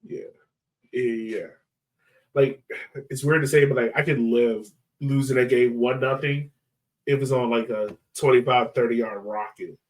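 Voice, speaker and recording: A man's voice: medium pitch, fairly even intonation, unhurried, darkish tone, poor audio. A man hosting a sports podcast in casual, conversational soccer chat.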